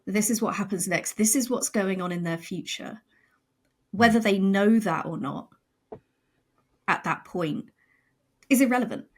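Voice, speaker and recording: A woman with a mid-range voice talks through ideas as a podcast guest. Her delivery is conversational, emphatic and animated, slipping into imagined quotes as she explains. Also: mid-range voice, unhurried, long pauses, husky. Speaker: guest, audio drama writer.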